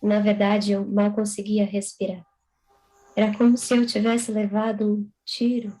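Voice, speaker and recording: A young woman writer from Brazil speaking over a video call. Her voice stays flat and level, with little rise or fall, whether she reads her prose or answers questions. The pitch sits in a middle range. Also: unhurried, low-quality recording. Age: young adult. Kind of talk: reading own prose aloud, then conversation.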